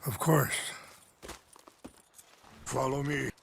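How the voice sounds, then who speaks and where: A man playing a grave, gentle older chief in a video game, with a raspy voice and a solemn, reflective manner. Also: medium pitch, steady tone, drawn-out words, a little hiss or hum, heavily acted. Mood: courteous, composed. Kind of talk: dramatic video game acting.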